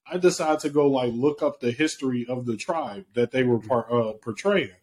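A man's voice: medium pitch, normal speed, darkish tone. A man co-hosting a podcast who tells stories in a blunt, profane, slangy way during casual chat. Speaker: podcast co-host. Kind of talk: casual chat, telling a story.

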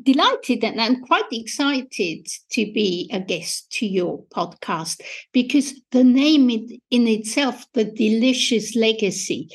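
A woman in her fifties from Austria with an Austrian accent, speaking as a food historian guest in an interview, enthusiastic and measured with soft laughs. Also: a little high. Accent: Austrian German. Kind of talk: interview conversation.

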